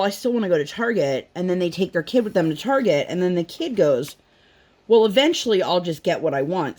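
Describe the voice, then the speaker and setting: A woman's voice: fairly low pitch, a little mellow. A woman, a mother and vlogger, telling rambling family stories in a casual chat at home, full of fillers and acted-out quotes. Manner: chatty, rambling, lots of fillers, acts out quotes. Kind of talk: casual storytelling chat while crafting.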